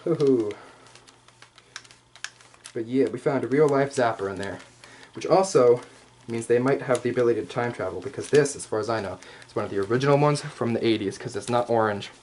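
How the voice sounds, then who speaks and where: Male, rambling ASMR roleplay host, lower-pitched than nobody in particular, mid-range voice, jokey and sweary, noisy background. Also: a little fast, a little mellow. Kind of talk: improvised ASMR roleplay, casual show-and-tell.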